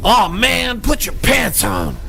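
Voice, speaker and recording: A man's voice: very high voice, animated, slow pace, creaky, pushed and bright, a little hiss or hum, exaggerated voice. A man playing an irritable, foul-mouthed fabric shop owner in a comedy sketch, blunt and exasperated in slangy speech. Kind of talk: audio comedy sketch acting.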